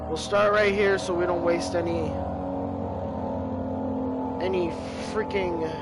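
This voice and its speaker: A man's voice: sits high, steady tone, slow pace. Stuffy, rambling young man gamer. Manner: rambling, repeating words as he talks. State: stuffy from a cold. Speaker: gaming channel host. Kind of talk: casual let's-play commentary. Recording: game audio with loud music behind.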